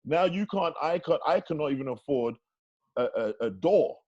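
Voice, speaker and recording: A man's voice: fairly high pitch, animated, normal speed, darkish tone. A man chatting casually and emphatically, repeating himself for effect. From United Kingdom. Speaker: podcast co-host. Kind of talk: casual chat. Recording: dull lo-fi podcast sound.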